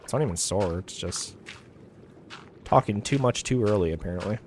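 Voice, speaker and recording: A young man streaming a game, his voice a little raspy today, rambling casually with lots of filler words. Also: fairly low pitch, expressive, normal speed, darkish tone, slight background noise. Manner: rambling, heavy use of "like" fillers. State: slightly raspy voice. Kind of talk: casual chat over gameplay.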